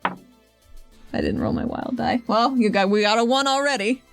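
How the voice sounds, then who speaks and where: A woman's voice: on the high side, measured pace, dry recording, faint music behind. A woman narrating her character casually as a player in a tabletop game.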